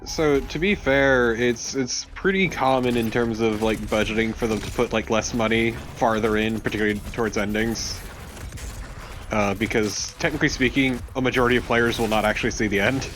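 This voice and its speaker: A man's voice: mid-range voice, moderate pace, a bit bright, a little hiss or hum. A man co-commentating on a video game, chatting casually with sarcastic banter among friends.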